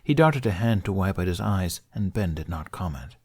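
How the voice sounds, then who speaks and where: A man's voice: sits low, lively intonation, moderate pace. A man reading scripted fiction aloud as an audiobook narrator.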